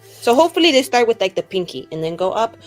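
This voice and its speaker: A woman's voice: a little high, sing-song, fast talker, soft background music. A woman playing a friendly, naive young female adventurer in a tabletop game, rambling casually in very colloquial phrasing. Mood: concerned, chatty. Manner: rambling, casual, filler-heavy valley-girl phrasing. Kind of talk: improvised tabletop roleplay dialogue.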